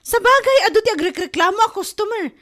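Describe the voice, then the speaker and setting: A woman acting a nagging mother in a comedy drama, with a shrill scolding way of talking. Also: expressive, a little fast, a bit bright. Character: nagging middle-aged mother, scolding her daughter.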